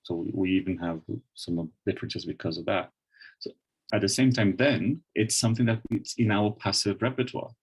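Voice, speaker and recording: Man, Brazilian Portuguese accent, deep voice, online lecturer, hesitant restarts. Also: breathy edge, rough recording. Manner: thoughtful, many restarts and fillers. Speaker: webinar presenter.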